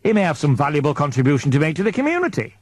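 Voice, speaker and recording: A man voicing an earnest, humane male priest in an audiobook, concerned and gently questioning. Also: a little high, big pitch swings, racing, pitched above the performer's own voice. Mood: concerned, protesting. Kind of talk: audiobook character dialogue.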